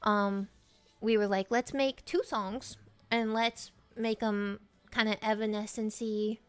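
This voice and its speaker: A young woman singer talking casually as a guest, bubbly and quick to laugh, swearing freely. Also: medium pitch, steady tone, clear, resonant tone. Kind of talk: casual chat.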